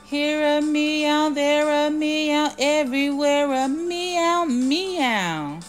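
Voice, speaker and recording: Hungry storybook cat, acted by a woman: raised pitch, drawn-out and emphatic, music behind. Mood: merry singing.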